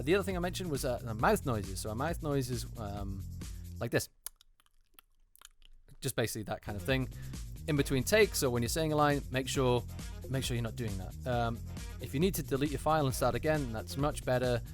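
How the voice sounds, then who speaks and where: A man speaking as a voice actor in an unscripted, casual critique, hesitant and repeating words for emphasis, in a treated recording booth. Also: mid-range voice. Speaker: voice actor reviewing auditions. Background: music.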